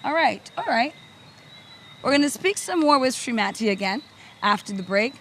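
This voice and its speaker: A woman's voice: on the high side, lively intonation, normal speed. A woman hosting and interviewing on location with an upbeat, chatty manner. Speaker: host. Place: outdoor party boat. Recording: loud party music and crowd noise. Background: loud music and crowd.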